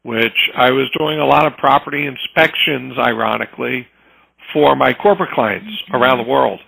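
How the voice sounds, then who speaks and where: A man in his fifties with a gravelly, bright-edged voice. He talks in an easygoing, storytelling way as an interview guest, casually recounting his path into his trade. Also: medium pitch, moderate pace, low-quality recording. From New Jersey, US. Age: 50s. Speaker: home inspector guest.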